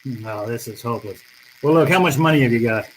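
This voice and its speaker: A man's voice: medium pitch, a little fast, natural voice, rough recording. An American man playing a tough, wry private detective in old-time radio noir style, with slangy, world-weary delivery. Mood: brisk, probing. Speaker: actor narrating and playing the lead.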